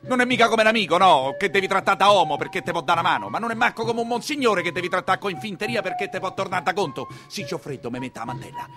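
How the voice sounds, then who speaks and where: A man's voice: high-pitched, animated, fast, few pauses, over music, voice pushed up. An actor playing an anxious, plain-spoken nineteenth-century coachman with a Roman accent, in a theatrical storytelling monologue. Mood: bitter, agitated.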